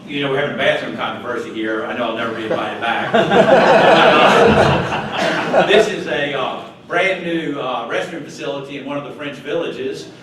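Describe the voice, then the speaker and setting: An older man from North Carolina, giving a chatty, folksy slide talk to a club with chuckling asides. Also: medium pitch. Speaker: club guest speaker. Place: meeting hall. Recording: echoey room from far off, noisy.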